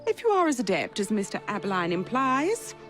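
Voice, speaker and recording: A woman's voice: mid-range voice, big pitch swings, brisk, over music, close to an everyday voice. An actress playing a regal, elderly British queen with an English accent, formal and commanding. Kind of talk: video game cutscene acting.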